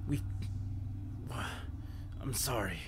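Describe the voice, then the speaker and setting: A man playing an anguished, self-sacrificing hero who fights demonic possession, pleading in a strained, halting way. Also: mid-range voice, animated, noisy background. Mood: pained pleading. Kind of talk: dramatic voice acting practice monologue.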